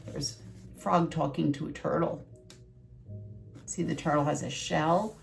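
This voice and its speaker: An older woman reading a children's story aloud with asides, deliberate and teacherly like a schoolteacher. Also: deep voice, steady tone, gravelly, faint music behind.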